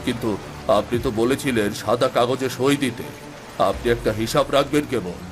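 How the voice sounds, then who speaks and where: A man's voice: medium pitch, brisk, noisy background, close to an everyday voice. A man playing a meek, worried poor village husband in a cartoon, speaking in a fretful, pleading way. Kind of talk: Bengali cartoon voice acting. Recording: music behind the voice.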